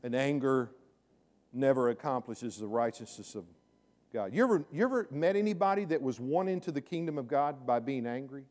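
A middle-aged man preaching a sermon from a church stage, chatty and then suddenly loud, often repeating his words. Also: mid-range voice, expressive, brisk. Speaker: pastor. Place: large church sanctuary with stage, indoors.

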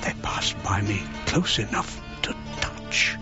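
An older actor playing a haunted old archaeologist, narrating his memories in measured, broken phrases for radio drama. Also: lightly acted. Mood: haunted, reflective. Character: old English archaeologist, haunted, wistfully recalling his past. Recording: dull radio recording, prominent music behind.

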